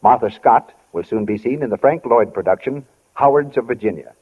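Dulled 1940s radio sound, American man, announcer, rough husky tone, mid pitch, formal scripted reading. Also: a little fast. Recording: muffled vintage 1940 radio broadcast.

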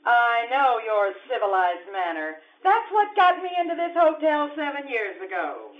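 An actress playing a determined, sharp-tongued wife in a theatrical screwball radio comedy on stage. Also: female voice, fairly high pitch, sing-song, moderate pace. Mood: indignant, demanding. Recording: muffled live stage audio.

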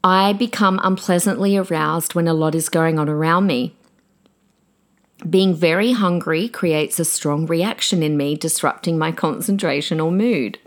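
A middle-aged woman hosting a podcast in a chatty, excitable way, often calling her listeners guys, her voice slightly croaky. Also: deep voice, a little slow. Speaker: podcast host; preacher. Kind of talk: casual podcast monologue.

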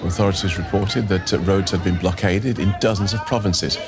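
A man's voice: deep voice, fairly even intonation, a little fast. A man reading a scripted news bulletin as a newsreader. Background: music bed.

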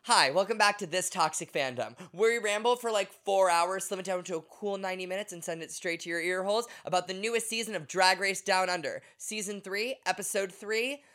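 A man's voice: sits high, fairly quick, hardly any pauses, bright, forceful tone. A man co-hosting a casual podcast, talking in a loose, rambling way and explaining things at length. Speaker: podcast co-host.

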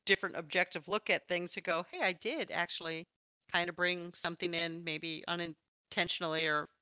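A woman's voice: fairly low pitch, steady tone, moderate pace, sharp tone, clear, resonant tone, no echo, dull audio. A woman hosting a casual interview about tabletop games, talking in an encouraging, reassuring manner. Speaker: podcast host and interviewer, also a game master.

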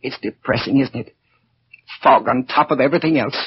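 A man's voice: medium pitch, a little fast, breathy edge. An actor playing a fussy, weary old millionaire grandfather in a 1940s radio drama. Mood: fretful. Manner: fussy, fidgety, plaintive. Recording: muffled, noisy 1940s radio recording.

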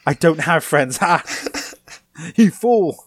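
A man hosting a casual podcast, giggly and rambling, his voice going hoarse. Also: fairly high pitch, animated, takes it slowly, noisy background. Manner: giggly, rambling, self-interrupting. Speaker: podcast host. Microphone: home microphone.

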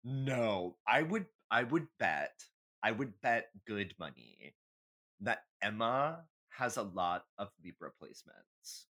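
A man's voice: fairly low pitch, expressive, takes it slowly, sharp tone. A man co-hosting a podcast, chatting casually and comedically in an emphatic, opinionated way with some swearing. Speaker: podcast host.

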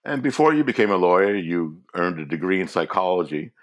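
A man interviewing a guest, reading prepared questions in a deliberate, formal way. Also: low voice, very animated.

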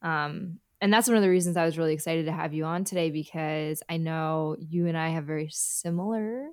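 A woman's voice: low voice, a little fast, smooth, no room sound, clean studio sound. A young adult woman hosting a podcast, talking in a chatty way with fillers and laughter. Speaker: podcast host. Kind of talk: conversational podcast interview.

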